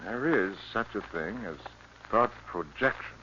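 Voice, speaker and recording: An actor playing a troubled, obsessive psychiatrist in an urgent, dramatic 1950s radio drama style. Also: male voice, on the low side, animated, a little slow, sharp tone. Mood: grave, determined. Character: troubled, obsessive male psychiatrist running a sanitarium. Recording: muffled, noisy 1950 radio recording.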